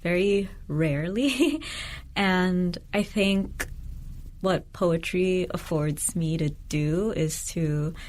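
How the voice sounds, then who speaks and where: A woman's voice: on the low side, very slow, a little mellow. A woman raised in the Philippines and the United States, Tagalog her first language, speaking as a poet guest in a thoughtful, candid way. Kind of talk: conversational interview.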